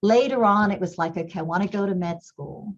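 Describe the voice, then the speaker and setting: A woman's voice: mid-range voice, brisk, muffled recording. A middle-aged woman who grew up in Kenya, with a slight English accent, talks earnestly as an interview guest and repeats words while she thinks. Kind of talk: reflective interview talk.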